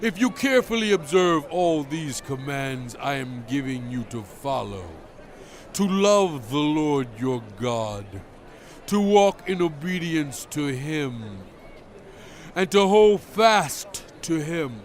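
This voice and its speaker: A man playing a solemn, commanding biblical prophet in a dramatized scripture reading, speaking in a measured, emphatic, exhorting way. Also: a little high, expressive. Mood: earnest, commanding. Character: solemn commanding male biblical prophet addressing his people.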